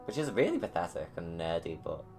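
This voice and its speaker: Low-pitched enthusiastic fan commentator over music. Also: very animated, brisk. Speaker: video creator giving commentary. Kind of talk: casual rambling commentary over a film.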